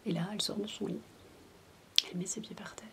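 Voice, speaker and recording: A woman in a soft, breathy, mostly whispered ASMR voice, telling a bedtime story as a chatty, hesitant babysitter. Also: mid-range voice, fairly even intonation, fast talker. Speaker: ASMR roleplayer playing a babysitter. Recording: noisy homemade recording without binaural mic.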